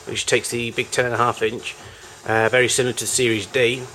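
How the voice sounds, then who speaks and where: A man giving an informal, unscripted show-and-tell as a collector of old machines, in a halting, rambling way with frequent self-corrections. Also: mid-range voice, level tone, sharp tone. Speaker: collector showing vintage tape recorders.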